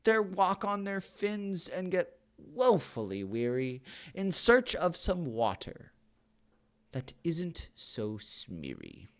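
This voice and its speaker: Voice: medium pitch, sing-song, slow, fairly bright tone, no room sound, pitched above the performer's own voice, exaggerated voice. A reader voicing a small, cranky male forest creature who scolds and pleads in rhyme. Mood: pleading.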